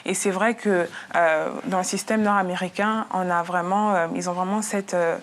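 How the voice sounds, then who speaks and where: Flat-voiced young woman entrepreneur guest. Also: on the low side, moderate pace. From United States. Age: young adult. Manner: steady, earnest, frequent fillers. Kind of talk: TV talk show interview.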